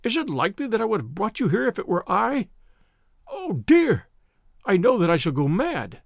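A cowering little man cries out in a sharp, querulous voice that leaps high and swoops wildly, as a man puts him on. Fear makes every word a plea. The sound is dry and close, with a gravelly edge. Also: huge pitch swings, moderate pace, no room sound, exaggerated voice. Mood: frantic pleading. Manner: shrill, pleading, near hysterical.

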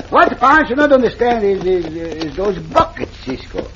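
An actor playing a bumbling, excitable Mexican cowboy sidekick with an accent, stammering in broken English in an old-time radio western. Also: male voice, high voice, unhurried, full character voice. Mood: puzzled, earnest. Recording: dull vintage radio recording, faint noise.

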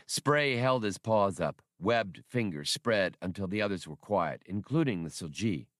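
A man's voice: lowish voice, expressive, measured pace, a bit bright, no echo, studio quality. A man narrating adventure fiction as a scripted, dramatic audiobook reading.

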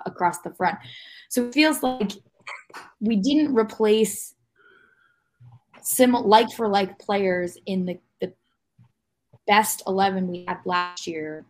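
A woman on a sports podcast panel giving casual, thoughtful analysis in an explanatory way. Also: medium pitch. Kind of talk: casual analytical soccer discussion.

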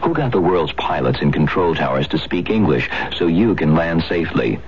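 A man's voice: sits low, sing-song, moderate pace. A man reading a scripted public service announcement on 1970s radio. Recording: muffled, noisy 1970s radio broadcast.

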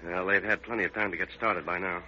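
Actor as a muffled, laconic detective sidekick. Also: male voice, sits low, fairly even intonation, fast talker, lightly acted. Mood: low-key, watchful. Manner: terse, casual cop slang. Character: laconic male detective, the lead cop's partner. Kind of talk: 1940s radio crime drama acting. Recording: muffled, noisy old radio recording.

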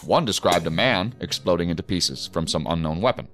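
Deep-voiced, stern federal director. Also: male voice, a little fast, fairly bright tone, soft background music. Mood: stern, impatient. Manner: authoritative, curt, formal. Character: stern, commanding male assistant director of federal investigators. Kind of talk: audio drama acting.

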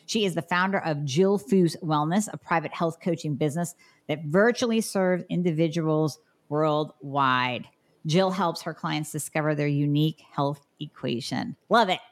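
A chatty, enthusiastic woman hosts an interview. Her voice sits somewhat low. She talks in a relaxed, conversational way. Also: normal speed. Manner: chatty, enthusiastic, reads bio aloud. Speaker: podcast host. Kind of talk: casual interview.

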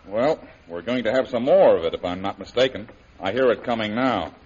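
Muffled old radio serial, good-natured hero, husky medium voice, plain-spoken. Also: male voice, fairly low pitch, brisk, darkish tone. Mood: resigned, good-natured. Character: steady, good-natured adventurer hero, male, hopeful captive. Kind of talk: 1930s radio serial acting. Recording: muffled 1930s radio recording.

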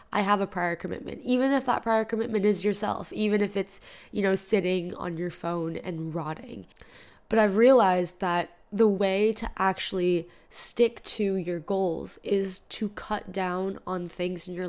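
A young woman hosting a solo podcast, talking casually with a stuffy nose and sore throat, filling her talk with "like" and "you know". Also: fairly low pitch. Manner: chatty, lots of "like" and "you know". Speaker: podcast host.

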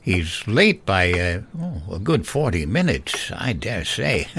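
A man playing an uneasy, stubborn old father and former soldier in an audio drama, halting and defensive. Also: low-pitched, big pitch swings, background noise, heavily acted. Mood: musing.

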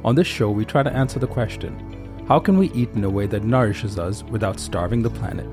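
A man hosting a food podcast, interviewing a guest in a measured, friendly way. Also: fairly low pitch, over music. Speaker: podcast host. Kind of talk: scripted intro, then interview chat.

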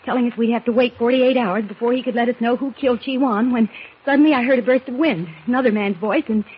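Woman acting as alert old radio girl reporter, bit high, earnest and curious, muffled recording. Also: fast talker, slight background noise, lightly acted. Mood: tense, curious.

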